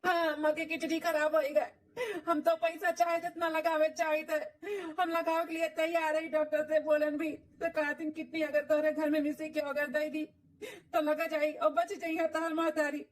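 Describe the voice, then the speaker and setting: A woman's voice: high voice, little pitch movement, normal speed, far from the mic, natural voice. A woman voicing an aggrieved, protective village son and husband in a cartoon family drama, speaking emphatically with repeated phrases in an Awadhi accent. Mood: distressed. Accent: Awadhi, eastern Uttar Pradesh. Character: aggrieved village son, adult man, protective of his wife.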